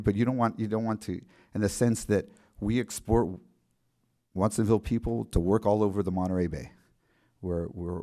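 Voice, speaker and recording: Deep-voiced man at a council meeting. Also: warm tone. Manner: earnest, halting with repeated restarts. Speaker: city council member. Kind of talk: public meeting remarks.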